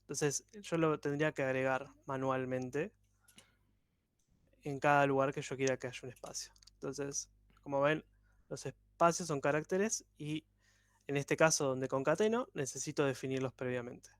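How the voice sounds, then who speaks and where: A man's voice: medium pitch, normal speed, clear, resonant tone, no room sound, clean studio sound. A man, teaching code in an online workshop with patient, step-by-step explanations over a video call. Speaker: programming instructor.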